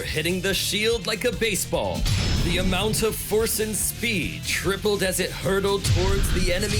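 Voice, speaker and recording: A man narrating a scripted action story in a dramatic style. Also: high voice. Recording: music under the voice.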